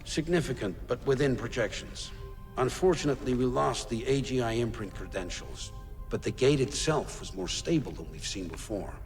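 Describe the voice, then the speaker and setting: A man's voice: medium pitch, lively intonation, moderate pace, soft background music, natural voice. A man playing a composed, deferential corporate subordinate in a video game, reporting in a formal, businesslike way with long reverb. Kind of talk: scripted video game dialogue.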